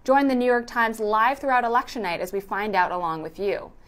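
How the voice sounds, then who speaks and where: A woman's voice: fairly high pitch, lively intonation, brisk. A woman reading scripted narration for a news explainer.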